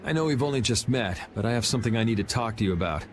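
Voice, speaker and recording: Game hero: man as cheeky young American swordsman, dry, confident, mid-pitched with lively inflection. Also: racing, noisy background, close to an everyday voice. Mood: cool, casual. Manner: laid-back, dry. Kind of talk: video game voice acting.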